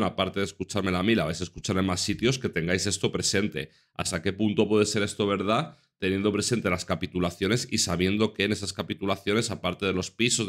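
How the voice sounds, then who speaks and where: A man in his thirties from Spain commenting on news articles to his viewers in an earnest, casual manner, stressing points by repeating them. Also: low voice. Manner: earnest, repeats for emphasis, addresses viewers directly. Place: small room indoors.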